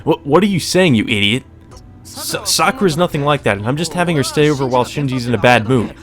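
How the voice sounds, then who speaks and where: A man voicing an earnest, easily flustered teenage schoolboy in a visual novel, blunt and excitable. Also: mid-range voice, quick pace, soft background music, voice pushed up, close to an everyday voice. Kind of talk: visual novel character read-aloud.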